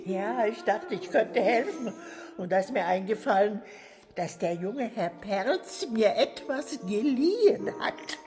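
A woman's voice: mid-range voice, expressive, takes it slowly, over music. An actress playing an older, nosy caretaker and grandmother in a radio drama, eager to help, hesitant yet chatty and coy.

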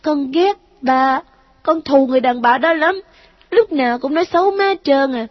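A woman's voice: high voice, normal speed, dry recording, lo-fi sound, voice pushed up, lightly acted. A woman voicing the ghost of a dead little boy, clingy and pleading in a childlike whine.

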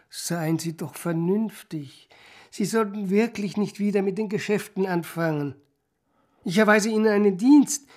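An actor playing a powerful, dismissive old banker in a radio drama, condescending and blunt. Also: male voice, sits high, darkish tone.

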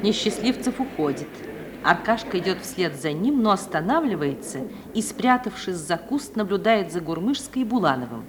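A woman's voice: on the low side, big pitch swings, moderate pace. Woman narrator on noisy old recording. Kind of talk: scripted narration of stage action.

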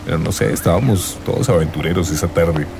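A man's voice: low-pitched, fairly quick, gravelly, over music. An actor playing an earnest young man in love, searching and telling his story in a radio drama. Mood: wistful, earnest.

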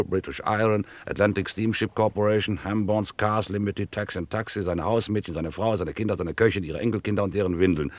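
An actor playing a pompous, scheming gentleman crook in a vintage radio crime play, patronising and grandiloquent in deliberate phrases. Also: lowish voice, no room sound, close to an everyday voice. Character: pompous, scheming gentleman crook, condescending to his dim henchman.

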